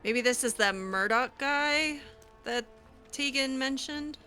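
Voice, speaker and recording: A woman's voice: high voice, slow, bright, forceful tone, faint music behind. A woman doing casual improvised roleplay while playing a video game, hesitant, awkward and drily reluctant. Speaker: video game player roleplaying her character.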